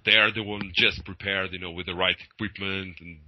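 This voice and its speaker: A man from Portugal with a Portuguese accent, answering interview questions in English, hesitant with many pauses and light laughs. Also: low-pitched, little pitch movement, pushed and bright, dry recording, poor audio. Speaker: singer of a metal band.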